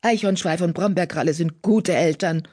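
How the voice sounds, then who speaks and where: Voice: mid-range voice, big pitch swings, measured pace, husky, pitched above the performer's own voice, exaggerated voice. A narrator voicing a proud female cat, a former leader, indignant and defensive as she protests urgently.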